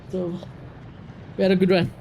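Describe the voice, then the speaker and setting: A man chatting casually on a livestream, reacting and ranting in an animated, blunt, sweary way. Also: high-pitched, quick pace, a little hiss or hum, low-quality recording. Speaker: live streamer.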